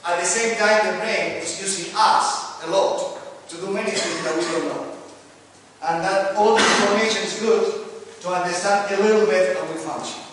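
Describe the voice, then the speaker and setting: Middle-aged Argentine-accented lecturer, high for a man, halting and joking, heard from far off in an echoey hall. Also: steady tone, noisy background. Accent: Argentine Spanish. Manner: halting, self-correcting, joking with the audience. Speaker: lecturer and coach. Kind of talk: live lecture to an audience.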